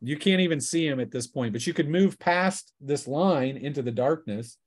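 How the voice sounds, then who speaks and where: A man's voice: a little high, normal speed, slightly rough. A man narrating a tabletop role-playing game as game master in a casual, conversational way.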